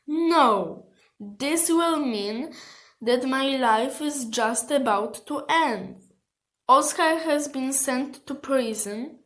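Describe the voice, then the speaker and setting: Frightened runaway orphan boy played by a schoolgirl, radio play, high and unhurried. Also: fairly bright tone. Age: child or teenager.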